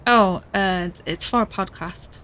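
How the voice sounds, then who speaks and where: An actress playing a worried, determined young podcaster in an audio drama, earnest and conversational. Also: female voice, medium pitch, unhurried, fairly bright tone, close to an everyday voice, rough recording. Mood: polite, anxious. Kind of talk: audio drama dialogue and recorded narration.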